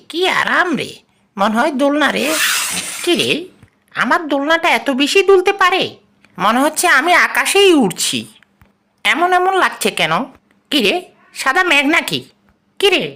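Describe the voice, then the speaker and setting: A performer with a woman-like voice plays an excitable, boastful cartoon cat who talks to itself in dramatic exclamations. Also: high-pitched, heavily acted. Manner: exclamatory, dramatic, talking to self. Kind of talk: cartoon dub, comic monologue.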